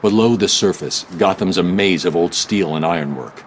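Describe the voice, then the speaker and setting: Guy doing grim restrained video game hero, really low voice, clipped and serious. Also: moderate pace, a little hiss or hum, lightly acted. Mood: focused. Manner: terse, stern, restrained. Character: grim, stoic adult male masked vigilante.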